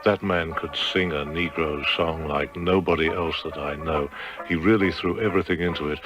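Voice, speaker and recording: Veteran male announcer, English accent, low voice, reminiscing in interview over music. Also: fairly even intonation, normal speed, dull audio. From England.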